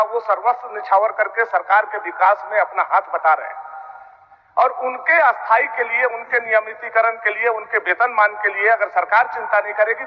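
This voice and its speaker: Male legislator, very high voice, insistent formal speech, muffled chamber sound. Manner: insistent, rhetorical, formal address to the chair. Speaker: opposition legislator addressing a state assembly. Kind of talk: impassioned parliamentary speech. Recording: muffled, reverberant assembly hall broadcast.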